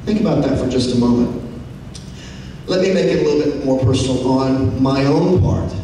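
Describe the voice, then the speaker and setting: A man giving a public talk to an audience, slow and measured, posing questions and pausing to let them sink in. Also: mellow. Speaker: speaker addressing an audience. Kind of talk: public lecture. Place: large hall. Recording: echoey hall from far off, faint rumble.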